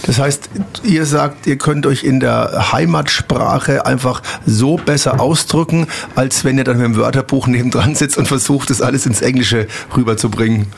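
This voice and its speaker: A man's voice: medium pitch, moderate pace, creaky, slight background noise. A man hosting a casual radio interview. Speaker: radio host.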